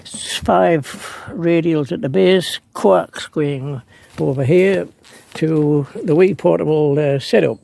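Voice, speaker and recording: A man's voice: fairly high pitch, slow pace, vocal fry, dark tone, dry recording. A man narrating an unscripted hands-on demonstration as an amateur radio hobbyist, hesitant and thinking aloud as he works. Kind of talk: unscripted how-to vlog narration.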